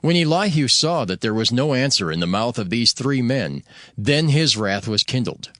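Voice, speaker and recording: A man's voice: mid-range voice, very animated, normal speed, a bit bright, no room sound, studio quality. A man narrating dramatized scripture in a solemn, formal manner.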